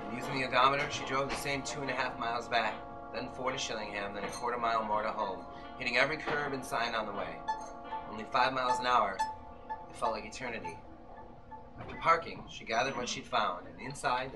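A man's voice: mid-range voice, fast, poor audio. A man in his thirties giving an intense, theatrical live reading of a novel as its narrator. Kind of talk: dramatic narration, radio-play style live reading. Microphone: audience camera a few meters away. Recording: live recording with loud music over it. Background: loud violin accompaniment.

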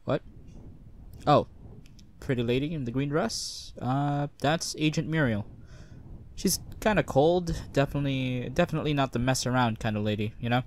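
A man's voice: mid-range voice, normal speed, a little hiss or hum, close to an everyday voice. A man playing a cocky, easygoing veteran sci-fi spy, talking in a casual, wry, joking way in an audio roleplay. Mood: casual, briefing. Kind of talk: conversational one-sided audio roleplay acting.

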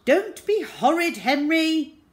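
A woman's voice: low-pitched, very animated, slow, exaggerated voice. A woman plays a stern storybook dad, firm and exasperated. The voice swings widely as he lays down the law to his children. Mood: scolding. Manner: firm, scolding. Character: stern, exasperated father of two boys.

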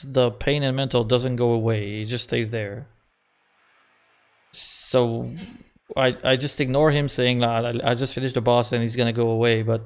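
Québécois-accented man, native French speaker, chatty streamer, self-deprecating chuckles, mid-pitched voice, home desk mic. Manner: rambling, self-mocking, chuckles often, repeats words. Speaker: game streamer. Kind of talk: casual live-stream commentary.

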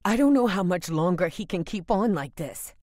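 A woman's voice: fairly low pitch, lively intonation, moderate pace, a little mellow, no echo, lightly acted. A woman voice actor playing a guarded, blunt, protective young survivor of a zombie apocalypse in a video game, with a dry delivery. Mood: urgent, frustrated.

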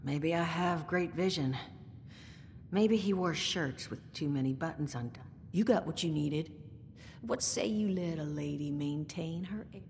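An actress playing a world-weary, guarded bar owner in a video game, with a dry, worldly way of talking. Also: female voice, a little slow, darkish tone. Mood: wry, businesslike. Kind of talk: video game dialogue acting. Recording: reverberant game audio with rumbling noise.

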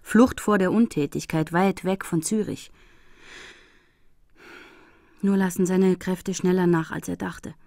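An actress playing a composed, observant female prosecutor, polite and quietly concerned, in a German radio crime drama. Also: lowish voice, steady tone, normal speed, creaky. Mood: reflective, concerned.

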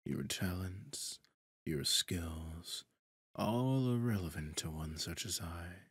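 A man playing a smug, possessive devil in a roleplay audio drama, suave and pompous, with a breathy, husky delivery that often drops toward a whisper. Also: sits low, animated, no echo, studio quality, exaggerated voice. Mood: condescending. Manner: theatrical, silky, pompous, drawn-out phrasing. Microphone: close, dry studio microphone.

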